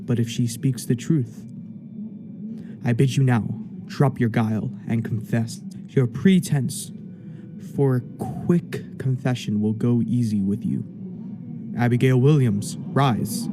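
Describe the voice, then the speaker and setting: Music plays behind a man playing a stern magistrate in a radio play. His delivery is measured and slow, at a middling pitch. He speaks formally and with command, like one used to being obeyed. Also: natural voice. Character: stern, imperious male judge presiding over an inquiry.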